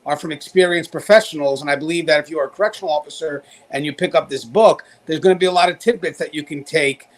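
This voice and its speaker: A man's voice: on the high side, quick pace, hardly any pauses. A man hosting an online talk show, addressing viewers directly in a conversational, emphatic and encouraging way. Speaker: show host, corrections professional.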